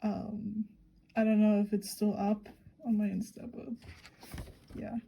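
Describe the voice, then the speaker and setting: A woman with a hoarse voice from a cold, chatting casually and giggling as she flips through her sketchbook. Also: medium pitch, flat delivery, measured pace, mellow, highs cut off, a little hiss or hum. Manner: rambling, giggly, many ums. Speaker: VTuber artist. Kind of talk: casual sketchbook tour chat.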